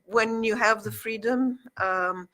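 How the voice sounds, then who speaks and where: Middle-aged woman, guest, from Egypt, Arabic mother tongue, halting and hesitant delivery. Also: fairly high pitch, steady tone, sharp tone. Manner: hesitant, many ums and restarts. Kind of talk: casual interview chat.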